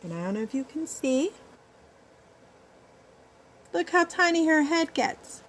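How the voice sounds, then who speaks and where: A woman reading a picture book aloud as a schoolteacher, pausing to explain and check that children follow. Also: sits high, very animated, a little hiss or hum. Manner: explanatory, checks understanding with tag questions. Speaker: elementary school teacher. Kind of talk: picture-book read-aloud with teaching asides.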